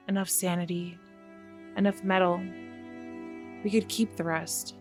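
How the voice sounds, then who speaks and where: A woman playing a confident young mech pilot in an audio drama, speaking with quiet conviction. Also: medium pitch, close to an everyday voice.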